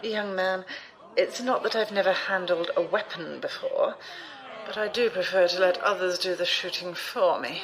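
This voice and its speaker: A woman's voice: fairly low pitch, steady tone, moderate pace, a bit bright, low-quality recording. An actress playing an imperious, disapproving old lady emissary with formal speech in an audio drama. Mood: haughty.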